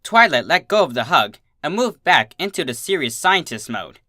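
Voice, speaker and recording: A woman reading fan fiction aloud as expressive scripted story narration. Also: low-pitched, normal speed, no echo, studio quality. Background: soft guitar music.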